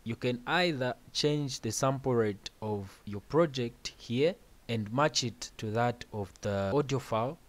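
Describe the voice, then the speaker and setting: A man hosting a music-production tutorial, explaining software steps plainly over a screen recording with casual asides. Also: medium pitch.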